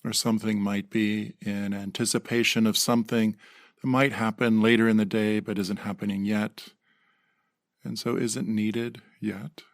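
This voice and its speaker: Male meditation guide, lowish pitch with vocal fry, gentle delivery with pauses. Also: little pitch movement, dry recording, studio quality. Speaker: meditation teacher.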